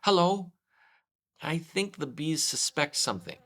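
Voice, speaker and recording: A man's voice: fairly high pitch, expressive, a little slow, bright, forceful tone, pitched above the performer's own voice, exaggerated voice. A man voicing an earnest, solemn, slightly foolish toy bear in a children's storybook. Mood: conspiratorial. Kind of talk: children's storybook read-aloud.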